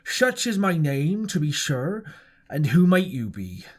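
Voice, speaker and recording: A man reading an audiobook, voicing a loud, jovial one-legged pirate innkeeper who blusters and barks orders. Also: a little high, big pitch swings, measured pace. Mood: genial. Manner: gruff, blustering, exclaiming.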